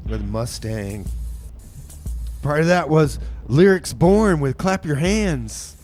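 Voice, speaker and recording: A man's voice: a little high, animated, a little mellow, faint music behind. A man hosting community radio, laid-back and easygoing, drawling his words and calling people "man.". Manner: easygoing, drawn-out, says "man" a lot.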